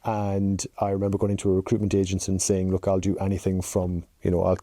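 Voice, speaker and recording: Deep, mellow Irish man, gravelly edge. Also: monotone, fast, dry recording, clean studio sound. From rural Ireland. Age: 40s. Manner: chatty, anecdotal. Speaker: podcast guest, chief executive. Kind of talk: conversational interview answers.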